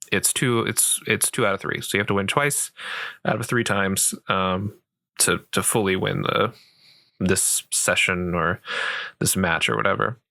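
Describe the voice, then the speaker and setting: A man playing a sweet, patient gamer boyfriend, softly and gently explaining a game in a casual, rambling roleplay. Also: lowish voice, dry recording, studio quality, exaggerated voice. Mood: patient, reassuring. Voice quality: soft, creaky voice. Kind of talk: roleplay ASMR, casual improvised game tutorial.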